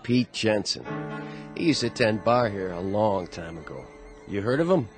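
A man's voice: lowish voice, big pitch swings, normal speed. A man playing an easygoing, chatty bartender in a radio drama. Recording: radio drama with prominent music.

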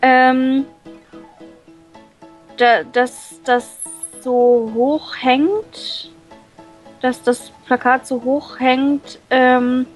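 A woman reading a visual novel aloud and translating it, with many fillers and pauses. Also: sits high, little pitch movement, faint music behind. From Germany. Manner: hesitant, many fillers, laughs often.

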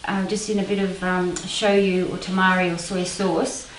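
A woman's voice: fairly low pitch, unhurried, a little hiss or hum. Woman, hesitant kitchen demo. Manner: hesitant, many ums, relaxed. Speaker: home cook demonstrating. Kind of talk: casual cooking demonstration. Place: home kitchen, indoors. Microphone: far camera mic. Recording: roomy kitchen from far off with clatter. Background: clattering dishes and cutlery.

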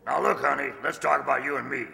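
Older man playing a gravelly, sharp-voiced wisecracking valet. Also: medium pitch, steady tone, fast talker. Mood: chatty, flirtatious. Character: wisecracking male household valet, easygoing and flirtatious. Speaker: amateur radio-play actor. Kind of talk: old-time radio comedy acting. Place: large indoor stage hall, stand mic. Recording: reverberant hall, stage microphone.

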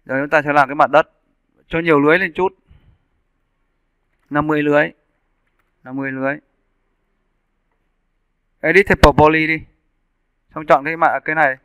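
A man with a clear, bright voice pitched a little high, teaching in a casual tutorial style. He explains each step informally and often checks that his listeners are following. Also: steady tone, brisk, long pauses, no room sound. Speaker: 3D animation instructor. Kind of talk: casual software tutorial narration. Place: indoors, screen-recording voice-over.

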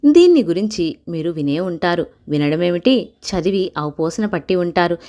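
A woman voicing a clever male court jester who poses as a scholar in a children's story, calm, courteous and slyly flattering. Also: on the low side, moderate pace, lightly acted.

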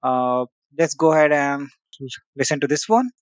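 Male tech-tutorial host, casual and chatty with lots of fillers, a bit higher-pitched, on a dull lo-fi mic. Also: animated, normal speed, no echo. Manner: casual, frequent "uh" and "you know" fillers. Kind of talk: screen-share software walkthrough.

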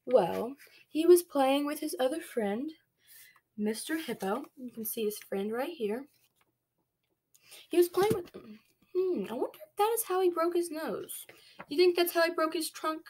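A young woman reading a picture book aloud to small children, stopping to explain and ask them questions. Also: high-pitched. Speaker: preschool read-along storyteller. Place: small dim bedroom, indoors.

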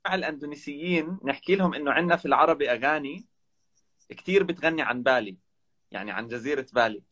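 A young man, a travel vlogger guesting on a live video call from indoors, telling stories in a relaxed, animated way. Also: a little high, fast. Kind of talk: casual live chat. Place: indoor room. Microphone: video call, webcam.

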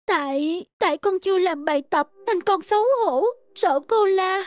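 Casting: timid little cartoon schoolgirl, sad, hesitant, very high pitch, dry sound. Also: moderate pace, dry recording. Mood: sad, ashamed. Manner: hesitant, meek. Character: small schoolgirl, timid, sad, longing for affection. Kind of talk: cartoon dialogue.

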